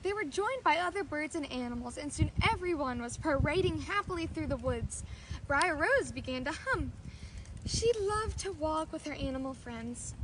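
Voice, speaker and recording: A woman's voice: high voice, animated, normal speed, background noise. A woman storyteller reading a children's fairy tale aloud.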